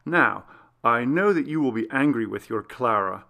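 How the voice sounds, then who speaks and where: A man reading a classic Gothic story aloud as scripted narration, in a measured, formal literary manner. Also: medium pitch, animated, gravelly, bright, forceful tone. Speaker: audiobook reader.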